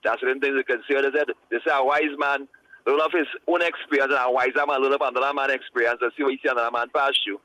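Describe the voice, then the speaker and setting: A man calling in to a radio show, talking earnestly and a bit preachily, with halting restarts. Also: mid-range voice, fairly even intonation, bright, forceful tone, highs cut off. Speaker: caller. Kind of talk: casual call-in chat.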